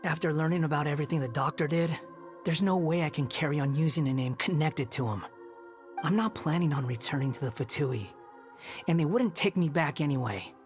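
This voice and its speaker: A man's voice: on the high side, fairly quick, close to an everyday voice. Man playing a proud, sardonic young wanderer. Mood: brooding, resigned. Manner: dry, sardonic, aloof. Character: proud, bitter, sardonic young male wanderer, former villain. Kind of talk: video game voice acting. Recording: background music under voice.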